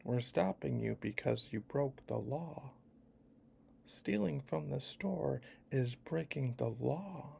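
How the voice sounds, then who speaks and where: A man voicing a calm male police officer who speaks low, quiet and firm. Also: takes it slowly, vocal fry, a little hiss or hum, exaggerated voice. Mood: stern.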